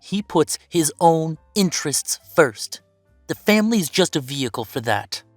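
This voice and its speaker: A woman's voice: deep voice, sing-song, measured pace, no room sound, faint music behind, heavily acted. A woman playing a bitter, defiant werewolf big brother estranged from the family, curt and confrontational, in an audio drama. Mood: indignant. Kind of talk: scripted audio roleplay drama.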